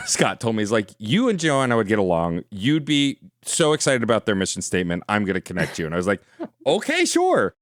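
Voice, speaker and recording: A man's voice: fairly low pitch, wildly swooping pitch, brisk, dry recording, studio quality. A man co-hosting a gaming podcast, enthusiastic and rambling, full of "like"s and laughs. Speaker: podcast co-host. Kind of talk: casual podcast chat.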